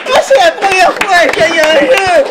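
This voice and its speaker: A man voicing a naive little plush chick who competes in a quiz, in a squeaky, plaintive voice. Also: expressive, normal speed, heavy background noise. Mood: whiny, silly. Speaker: quiz contestant.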